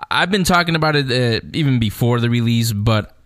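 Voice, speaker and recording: A man's voice: mid-range voice, expressive, brisk, a bit bright, no echo, studio quality. A man hosting a radio show in casual, chatty conversation.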